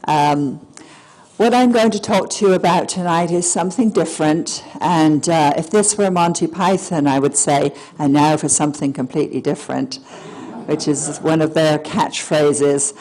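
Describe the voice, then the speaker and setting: A soft-spoken woman giving a public lecture at a symposium, hesitating often with fillers and restarts. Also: low-pitched, moderate pace, poor audio. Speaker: guest speaker. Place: lecture hall.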